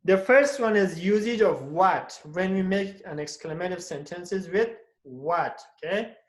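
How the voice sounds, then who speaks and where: A man's voice: high-pitched, unhurried, roomy sound. A man teaching English in a live online class from home at night, tagging "okay?" onto nearly every phrase and acting out each example. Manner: tags "okay?" after most phrases and acts out each interjection.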